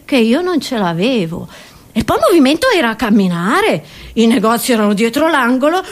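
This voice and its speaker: A woman playing an indignant old pensioner grandmother in a comic monologue, rambling, stammering and repeating herself as she reminisces. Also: high voice. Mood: flustered, reminiscing.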